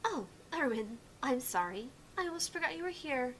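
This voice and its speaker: A woman's voice: sits high, big pitch swings, moderate pace, a bit bright, exaggerated voice. A woman voicing a young student council vice president in a visual novel, bossy yet apologetic and a little hesitant. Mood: exasperated, kindly. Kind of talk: acted visual novel dialogue. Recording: clear background noise.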